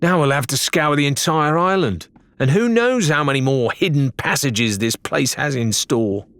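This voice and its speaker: A capable, wary woman adventurer as a male narrator plays her, with a somewhat raised pitch. Her delivery is measured, dry and sardonic, in storybook style with a close, dry sound. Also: animated, a bit bright, no room sound. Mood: bitter.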